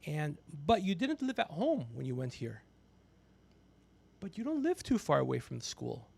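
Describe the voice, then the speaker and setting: High-pitched, gravelly-voiced man bantering. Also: huge pitch swings, normal speed, darkish tone. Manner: teasing, needling, profane. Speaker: podcast host. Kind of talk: casual livestream banter.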